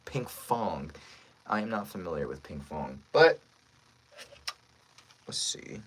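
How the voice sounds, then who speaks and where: A man's voice: low-pitched, very animated, slow, a little hiss or hum, poor audio. A man chatting casually and friendly with viewers as a voice actor on a livestream, thinking aloud as he goes.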